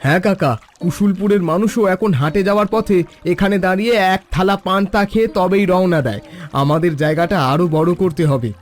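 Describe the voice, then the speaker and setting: A man voicing a loyal, encouraging village neighbour in an animated story. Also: high-pitched, fairly quick, lightly acted. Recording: voice over background music.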